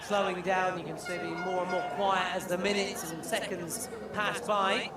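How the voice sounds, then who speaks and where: An easygoing male co-commentator with a high voice, chatting through a match in a loose, self-mocking way. He sounds distant and echoey, with a huge crowd behind. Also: little pitch movement, normal speed. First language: English. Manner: easygoing, self-deprecating agreement. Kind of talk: color commentary. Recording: echoey arena, distant mic, loud crowd. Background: loud crowd.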